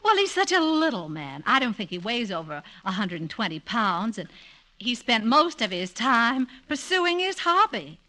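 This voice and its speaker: A woman's voice: fairly high pitch, very animated, moderate pace, pushed and bright. An actress playing a witty, coy wife who teases her husband in a 1950s radio comedy. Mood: teasing, playful. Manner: coy, teasing, theatrical delivery. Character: witty, teasing wife returned from seven years on an island. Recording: vintage 1950s radio broadcast.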